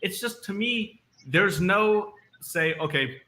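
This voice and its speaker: A man co-hosting casual sports talk, animated and rising in pitch as he makes his points. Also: slow. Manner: animated, goes up in pitch when making a point. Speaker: sports podcast co-host.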